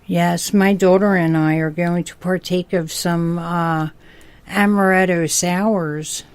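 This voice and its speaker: A woman's voice: fairly low pitch, fairly even intonation, slow pace, a little mellow, smooth, no room sound. A middle-aged or older woman co-hosting a podcast, chatting casually in an agreeable, easygoing way. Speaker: podcast co-host. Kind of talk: casual chat.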